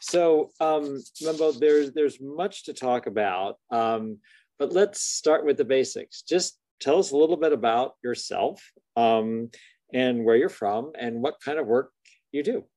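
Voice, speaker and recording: A middle-aged man speaks as an interview host in a measured, formal way. His voice is medium in pitch, and the sound is dry, picked up on a video call. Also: warm tone, dry recording. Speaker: interviewer, university dean hosting. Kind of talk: formal scripted introduction, then interview questions.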